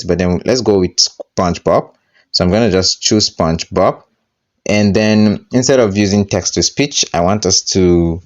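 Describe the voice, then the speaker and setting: A man presenting a casual software tutorial, with somewhat halting, hesitant phrasing. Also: deep voice, highs cut off.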